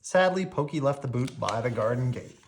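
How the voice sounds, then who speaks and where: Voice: mid-range voice, animated, fairly quick, slight background noise. A storyteller reading a children's picture book aloud expressively, drawing out key words.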